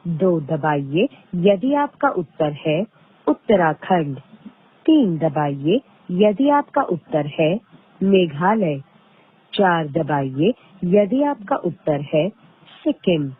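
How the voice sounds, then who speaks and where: A woman reading scripted automated phone prompts in a formal, measured way with deliberate pauses, heard over a recorded phone call. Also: fairly low pitch, very animated, breathy edge. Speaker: automated phone line announcer. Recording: tinny band-limited phone line recording.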